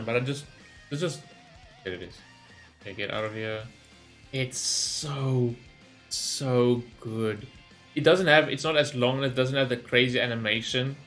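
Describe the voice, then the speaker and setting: A man's voice: mid-range voice, a bit bright. Man rambling enthusiastically over background music. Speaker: reaction video host. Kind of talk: casual gaming reaction commentary.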